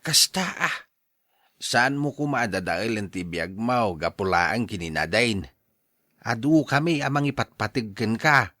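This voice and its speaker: A man's voice: lowish voice, expressive, unhurried, sharp tone, no echo, studio quality. A man with an Ilocano accent acting a blunt, scolding male friend in a radio drama, emphatic and exhorting. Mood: firm encouragement.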